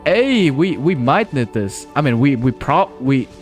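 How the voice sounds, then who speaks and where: A man's voice: mid-range voice, big pitch swings, unhurried, soft background music. A man streaming live gameplay, chatty and playful, talking to himself as he plays. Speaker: game streamer.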